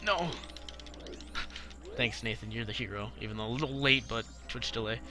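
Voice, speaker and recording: A young adult man commentating casually on a video game for a live chat, slangy, profane and excitable, often repeating himself. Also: mid-range voice, moderate pace, music in the background. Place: live game stream with game music behind the voice.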